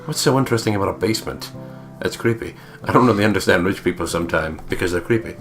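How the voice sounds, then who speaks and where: A man with a lowish voice narrates a visual novel for a let's play. His reading is playful and theatrical, peppered with swearing, with faint music underneath. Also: quick pace. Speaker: let's player reading the game aloud.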